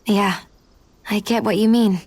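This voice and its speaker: A young woman adventurer in a fantasy game. She is composed and quietly affectionate, with a gentle teasing streak. The voice is medium in pitch and very dry. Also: fairly even intonation, unhurried, slightly rough, no room sound. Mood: warm, wistful. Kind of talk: video game cutscene acting.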